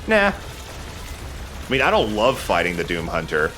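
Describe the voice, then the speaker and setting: Male gaming host, conversational and agreeable, lowish pitch with a bright edge, commentating casually. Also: huge pitch swings, slow pace, slight background noise. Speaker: let's play host.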